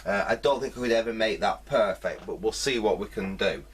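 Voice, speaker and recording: A man's voice: lowish voice, brisk, husky. A man giving a casual hands-on repair commentary, thinking aloud hesitantly in fragments. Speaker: host of a vintage electronics repair video.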